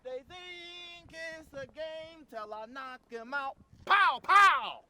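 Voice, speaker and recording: A swaggering, boastful wrestling champ, put on by a woman, throws out taunts in a high, bright voice. The talk comes slow and drawn out, each boast leaned on hard. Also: lively intonation, heavily acted. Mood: taunting. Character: cocky trash-talking self-proclaimed wrestling champ.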